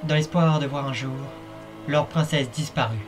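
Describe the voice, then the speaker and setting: A man's voice: fairly high pitch, normal speed, music in the background. A man narrating a fan-dubbed fairy tale in a playful, wry way, speaking straight to the viewer. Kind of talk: fan-dub storytelling narration.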